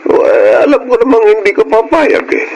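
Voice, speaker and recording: A Filipino man acting a soft-hearted middle-aged husband who defends his siblings in a radio drama. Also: extremely high pitch, huge pitch swings, moderate pace, lo-fi sound, noisy background, exaggerated voice. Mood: defensive.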